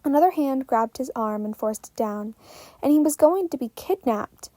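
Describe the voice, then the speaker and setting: A woman reading fan fiction aloud as an expressive story narrator. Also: high-pitched, normal speed, no room sound.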